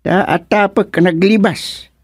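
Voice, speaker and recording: A man's voice: high-pitched, fairly even intonation, measured pace, breathy edge, dry recording, studio quality, heavily acted. A man playing a matter-of-fact village acquaintance in an Ilocano radio comedy, chatting in a folksy way. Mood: musing.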